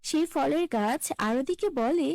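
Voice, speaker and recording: A woman's voice: sits high, expressive, slow pace, darkish tone, no echo. A woman reading scripted fairy-tale narration for children as a storyteller.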